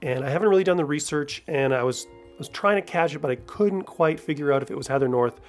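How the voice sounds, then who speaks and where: A man's voice: on the high side, fast talker, faint music behind. A man hosting a podcast, giving casual, rambling solo commentary in a conversational way. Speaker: podcast host.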